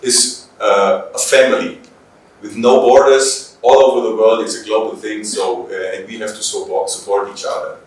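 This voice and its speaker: A man with a German accent gives interview answers in English as a native German speaker. He pauses and repeats phrases while searching for words. The room echoes heavily and the microphone sounds some way off. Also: lowish voice, fairly even intonation, distant mic. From Bavaria, Germany. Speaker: police trainer, interview guest.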